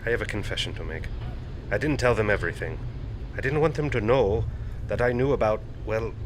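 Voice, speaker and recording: A man's voice: fairly low pitch, a little fast, a little hiss or hum, natural voice. A man playing an anxious, earnest foreign scientist in an audio drama, speaking hesitantly and confidingly. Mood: worried, confiding.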